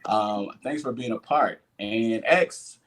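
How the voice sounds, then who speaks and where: Halting, soft-spoken male interview host. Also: lowish voice, a little hiss or hum. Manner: halting, with many ums and restarts.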